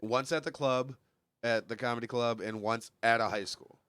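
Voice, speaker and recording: A man's voice: medium pitch, fairly even intonation, normal speed, crowd noise. A young man from Michigan, a stand-up comic guesting on a podcast, chatting casually with a congested voice and joking, rambling, laughing often.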